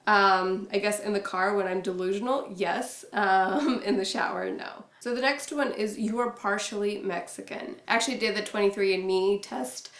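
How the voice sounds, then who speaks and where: Reserved young woman vlogger, chatty and low-key, recorded in an echoey room. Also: moderate pace, few pauses. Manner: soft-spoken, reserved, many fillers. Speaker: YouTuber. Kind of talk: casual chat to camera.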